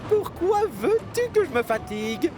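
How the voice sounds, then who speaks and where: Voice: very high-pitched, big pitch swings, unhurried, music in the background, exaggerated voice. An actor playing a cheeky, mischievous young twin engine in a French cartoon dub, teasing with feigned innocence. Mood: playful, eager.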